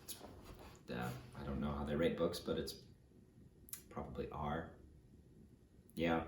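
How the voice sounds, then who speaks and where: Male reader, deep voice, off-the-cuff halting asides in fragments, echoey room. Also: steady tone, a little hiss or hum. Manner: hesitant, trailing fragments. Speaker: narrator reading an audiobook chapter. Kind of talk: informal, halting spoken aside. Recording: roomy, echoey sound.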